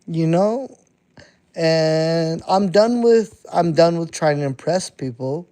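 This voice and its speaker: A man's voice: a little high, slow pace, mellow, smooth. A man in his thirties, an underground rapper, talking bluntly and profanely to his live-stream chat, repeating himself as he goes. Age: 30s. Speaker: underground hip-hop artist streaming to chat. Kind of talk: casual live-stream monologue.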